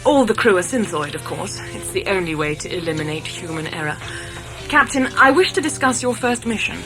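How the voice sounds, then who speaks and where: A woman's voice: fairly low pitch, lively intonation, fairly quick, close to an everyday voice. Woman acting an authoritative colonial leader over music. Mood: formal, assured. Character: determined, indignant female colonial political leader. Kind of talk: audio drama acting.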